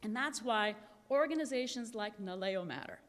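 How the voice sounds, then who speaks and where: A Cuban-born woman gives an earnest keynote speech at a conference, slowing down to lean on her key phrases. Her voice sits a little high, and the long reverb of a large hall trails after every line. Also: expressive. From Cuba. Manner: earnest, emphatic, slows to stress key phrases. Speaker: keynote speaker.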